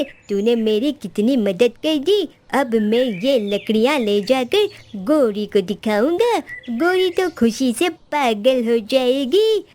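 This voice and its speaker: A woman's voice: fairly high pitch, darkish tone. A woman voicing a lazy, henpecked male crow, whiny and exclaiming, in a children's cartoon. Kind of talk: Hindi children's cartoon dialogue.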